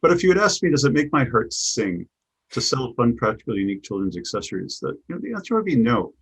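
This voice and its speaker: A man's voice: medium pitch, sing-song, quick pace, husky, low-quality recording. A middle-aged man with a Chicago accent, answering interview questions in a thoughtful way, often repeating words as he restarts. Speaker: guest, business coach.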